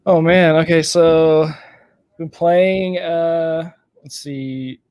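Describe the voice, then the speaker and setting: A man from Texas co-hosting a casual game podcast, talking through AirPods in a relaxed way full of "like". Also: a little high, extremely slow, clear, resonant tone, dry recording, muffled recording. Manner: relaxed, filler-heavy with lots of "like". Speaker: podcast co-host. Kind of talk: casual chat.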